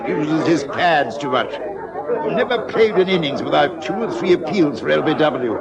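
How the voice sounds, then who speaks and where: A man's voice: a little high, lively intonation, moderate pace, creaky. A man playing a hearty, gossipy middle-aged colonel with an upper-class British accent and a chummy, blustery manner in a radio drama. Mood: gossipy, disapproving. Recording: loud pub crowd behind.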